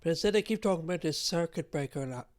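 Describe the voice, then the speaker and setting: Wry dublin man, bit high. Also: lively intonation, fast talker, darkish tone. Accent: Irish. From Dublin, Ireland. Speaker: podcast co-host. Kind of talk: casual chat.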